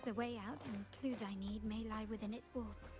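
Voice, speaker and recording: A woman voicing a poised, thoughtful young noble heiress in a video game, musing aloud in a measured, refined way. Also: fairly high pitch, fairly even intonation, close to an everyday voice. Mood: investigating. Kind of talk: scripted video game voice acting. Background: game music.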